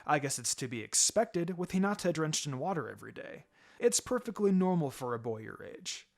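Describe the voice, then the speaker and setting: A man voicing a laid-back adult male ninja mentor in a fan fiction reading, wry and gently sombre, speaking in a measured, low-key way. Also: sing-song, creaky. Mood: wry, teasing. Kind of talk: fan fiction audiobook character acting.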